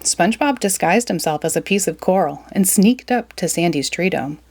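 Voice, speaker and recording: A woman narrating a children's storybook in an animated, expressive read-aloud. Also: lowish voice, normal speed.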